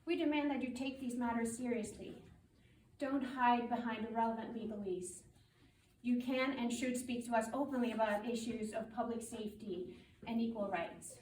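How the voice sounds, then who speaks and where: Higher-voiced woman reading a formal statement, roomy. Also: steady tone, darkish tone. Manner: formal, firm, deliberate. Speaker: community spokesperson. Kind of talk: prepared public statement read aloud. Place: public meeting room. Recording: roomy meeting hall, distant mic, faint noise.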